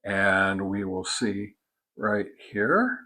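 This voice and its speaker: A man giving an informal product test and demonstration, explaining methodically in a halting way. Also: fairly low pitch, expressive, pushed and bright. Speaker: product reviewer, host.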